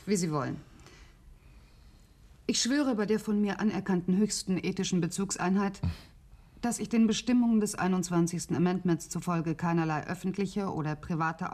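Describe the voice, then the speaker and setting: A brisk, official woman doctor played by an actress in a radio drama. Her voice sits fairly low, and she speaks coolly and formally, with clipped bureaucratic phrasing. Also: darkish tone, lightly acted.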